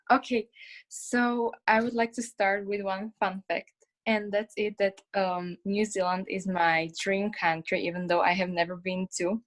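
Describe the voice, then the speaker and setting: A woman in the audience joins in on a video call. She speaks eagerly, though a little haltingly, in casual conversation. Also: medium pitch, steady tone. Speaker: audience member.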